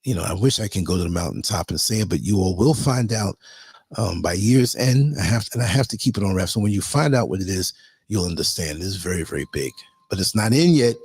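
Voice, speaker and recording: A hoarse man hosting a livestream, reading a list of tips and then rambling casually to his viewers. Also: deep voice, expressive, fairly quick, darkish tone, dry recording. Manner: rambling, chatty, addresses viewers as y'all. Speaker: livestream host.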